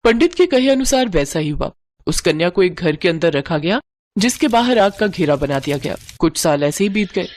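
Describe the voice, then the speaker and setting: Expressive woman storyteller. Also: fairly low pitch, moderate pace. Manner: dramatic, expressive. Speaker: narrator. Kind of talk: scripted storytelling narration.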